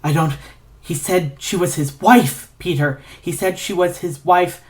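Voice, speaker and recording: A woman's voice: lowish voice, natural voice. A terrified young man played by a woman in a dramatic story, stammering and pleading. The sentences break off and circle back as the horror is recounted. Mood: shaken, halting. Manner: halting, repetitive, breaking off mid-sentence. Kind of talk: dramatic fan fiction reading.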